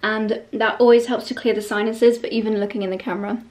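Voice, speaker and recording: A woman in her thirties, vlogging to a close camera in a bright bedroom, chatty and gushing, a little stuffy from a cold. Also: a little high, level tone, fast. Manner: gushing and chatty, with light laughs. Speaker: lifestyle vlogger. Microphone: camera held close.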